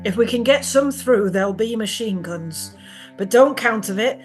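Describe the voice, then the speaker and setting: An actress playing a wry, careful underground weapons smuggler in a stage drama, terse and matter-of-fact. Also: female voice, on the high side, lively intonation, moderate pace, close to an everyday voice. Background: faint music.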